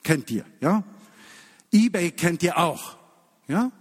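A man's voice: sits high, lively intonation, husky. Man preaching in a reverberant hall. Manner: emphatic, with slow drawn-out key phrases and hushed drops. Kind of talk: sermon to a congregation.

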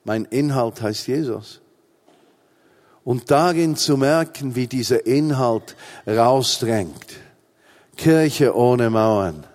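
A man's voice: medium pitch, lively intonation, slow pace, long pauses, darkish tone. Swiss man preaching with wry humor. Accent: Swiss German. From Switzerland. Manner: humorous, self-mocking preaching with emphatic repetition. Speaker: pastor. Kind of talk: sermon.

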